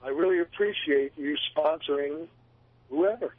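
A man's voice: fairly high pitch, measured pace, slightly rough, no echo. A man calling in to a radio show, talking in a folksy, opinionated way over the phone. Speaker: caller. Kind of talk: casual phone-in chat. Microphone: telephone. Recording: tinny phone line.

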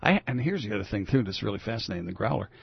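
An older man with a growly, gravelly voice hosting a casual talk radio show, rambling with many fillers and restarts. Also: lowish voice, expressive, rapid-fire, no room sound. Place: radio studio. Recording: dull lo-fi radio broadcast.

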